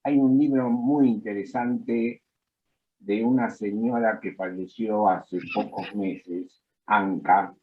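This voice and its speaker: Man, very slow and deliberate, lowish voice, dull lo-fi call audio. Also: steady tone. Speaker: audience member. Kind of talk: question on a video call.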